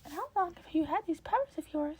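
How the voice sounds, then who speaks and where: A woman's voice: very high-pitched, lively intonation, fast talker, pitched above the performer's own voice. A woman voicing a small, furry male creature, a polite and eagerly curious mentor with formal, inquisitive phrasing in an audiobook-style story. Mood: probing, intrigued.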